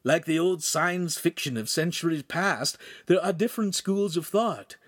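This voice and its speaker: A man's voice: a little high, unhurried, dry recording, studio quality, pitched above the performer's own voice. A man voicing a nervous, quirky shape-shifting imp who explains things earnestly in a storybook reading. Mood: earnest, worried.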